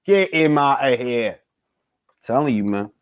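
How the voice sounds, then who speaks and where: A man's voice: medium pitch, lively intonation, unhurried, clean tone, no echo. A young man hosting a casual boxing talk stream, bantering with loose, profane energy. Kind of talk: casual live-stream chat with viewers.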